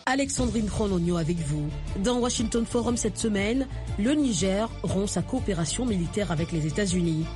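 A woman radio host reading a promo for an upcoming program in a smooth, measured way. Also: mid-range voice, animated. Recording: music bed underneath.

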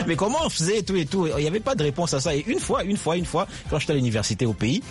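A man's voice: on the high side, big pitch swings, quick pace. Thoughtful male author telling stories. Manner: thoughtful storytelling, frequent hesitations. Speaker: guest author. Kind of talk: radio interview. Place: radio studio. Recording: radio broadcast with faint music.

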